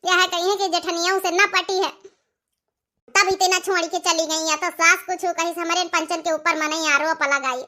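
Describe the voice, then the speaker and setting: A woman's voice: very high voice, fairly even intonation, moderate pace, sharp tone. A woman voicing an outspoken village sister-in-law in a comic cartoon, earnest and repetitive, with an Awadhi accent and a dramatic manner. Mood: anxious pleading.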